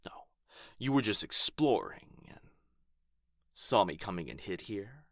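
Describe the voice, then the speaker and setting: A man acting a proud, wounded, menacing dragon in an ASMR roleplay, taunting theatrically with drawn-out words and whispers. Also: on the low side, big pitch swings, dry recording, studio quality, full character voice. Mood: suspicious, threatening. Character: proud, menacing, wounded male dragon guarding his gold.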